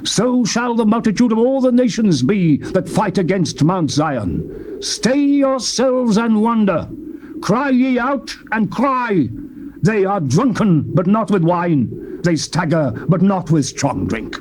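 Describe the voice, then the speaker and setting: Old tape with clear background noise, guy doing dramatic bible narration, slightly high voice, very solemn and declamatory. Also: big pitch swings, slow pace, darkish tone. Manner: solemn, declamatory, archaic diction. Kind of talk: dramatized scripture reading, theatrical. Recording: noisy old cassette tape transfer.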